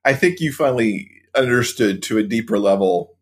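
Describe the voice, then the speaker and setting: A man's voice: mid-range voice, animated, normal speed, slightly rough. A man talking as a guest author in a casual interview, telling stories and acting out his own past reactions.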